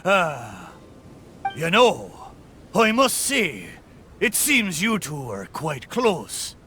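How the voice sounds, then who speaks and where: Animated, blustering boat. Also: male voice, a little high, slight background noise, exaggerated voice. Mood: musing, hearty. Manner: blustering, drawn-out exclamations, pirate talk. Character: talking pirate boat, hearty, excitable old seafarer. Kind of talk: theatrical video game character acting.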